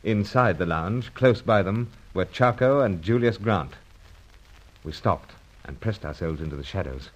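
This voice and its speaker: An actor playing a gentlemanly British secret agent in an old radio adventure serial, clipped and commanding. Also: male voice, low-pitched, expressive, unhurried, low-quality recording. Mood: tense narration. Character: calm heroic British secret agent, leader and narrator.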